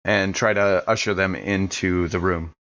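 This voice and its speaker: A man with a lowish voice plays in a tabletop roleplay session, casually narrating his own character's moves. The recording is a bit dull and lo-fi. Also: steady tone, a little slow, a bit bright. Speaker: player in a tabletop roleplay game. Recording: dull, lo-fi microphone sound.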